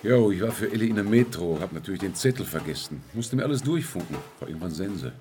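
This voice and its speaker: A man's voice: sits low, animated, fast talker, a little mellow. An actor playing a laconic, streetwise working-class man in a radio drama, talking in clipped colloquial phrases. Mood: laconic, casual. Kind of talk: naturalistic radio drama acting. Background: music.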